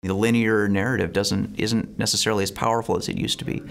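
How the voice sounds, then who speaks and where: A man's voice: fairly low pitch, flat delivery, quick pace, gravelly, fairly bright tone. A man talking thoughtfully in an interview.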